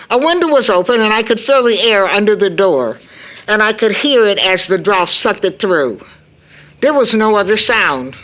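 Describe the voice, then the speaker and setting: A middle-aged mother in an audio drama. She is tender and worried for her grown daughter, and her medium-pitched voice moves widely up and down as she speaks. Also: a bit bright.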